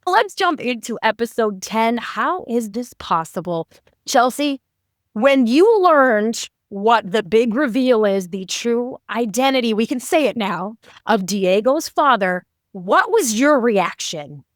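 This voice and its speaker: Female podcast host, higher register, upbeat interview style, very dry sound. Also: expressive, takes it slowly, no room sound. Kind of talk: upbeat show hosting and interviewing.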